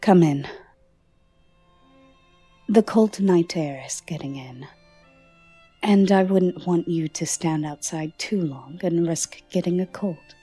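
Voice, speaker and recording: A woman performs an alluring, troubled gothic lady in an ASMR roleplay. Her voice is breathy and soft, often sinking to a whisper, and she speaks very slowly in a lowish register. Her manner is formal, tender and teasing, yet guarded. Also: faint music behind. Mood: fond, inviting. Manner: slow, formal, teasing endearments.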